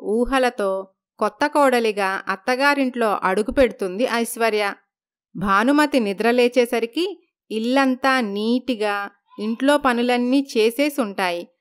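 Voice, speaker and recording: A woman's voice: on the high side, smooth. A woman narrating a scripted moral story as the storyteller.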